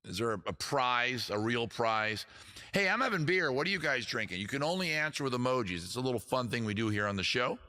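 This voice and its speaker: A man with a raspy voice hosting a casual livestream show, chatting quickly and loosely with viewers in the chat. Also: mid-range voice, animated, bright, forceful tone. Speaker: livestream music show host.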